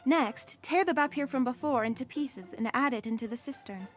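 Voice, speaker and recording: A woman's voice: sits high, moderate pace, faint music behind, close to an everyday voice. A woman playing a courteous palace attendant in a comic dub, giving patient, orderly instruction. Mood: purposeful, instructive.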